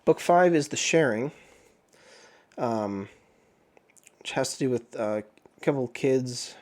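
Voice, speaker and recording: A man hosting a book review channel, chatting casually while sick with the flu, his voice a bit off, often clearing his throat. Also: mid-range voice, animated, slow pace, darkish tone. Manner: rambling, frequent throat clearing and filler words. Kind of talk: casual chat.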